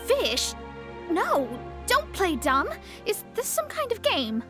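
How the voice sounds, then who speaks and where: Casting: proud young astrologer girl for an anime game, high voice, haughty, formal and precise. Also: wildly swooping pitch, slow, heavily acted. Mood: haughty, insistent. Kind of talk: anime-style video game dub acting. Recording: faint background game music.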